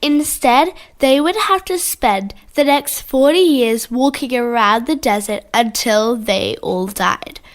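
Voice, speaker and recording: Australian female co-narrator, somewhat high-pitched, scripted kids' storytelling. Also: slow pace, few pauses. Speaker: children's Bible story co-narrator.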